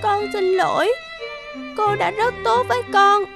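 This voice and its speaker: A woman's voice: extremely high pitch, fairly even intonation, unhurried, creaky, music in the background, heavily acted. A woman voicing a sullen, hurt young girl in a cartoon. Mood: upset, remorseful. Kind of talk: cartoon voice acting.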